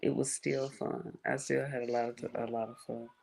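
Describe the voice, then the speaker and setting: Soft-spoken woman with a low creaky voice. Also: level tone, normal speed, low-quality recording. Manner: laughs often, chatty storytelling, greets viewers. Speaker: livestream host. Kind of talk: casual live-stream chat.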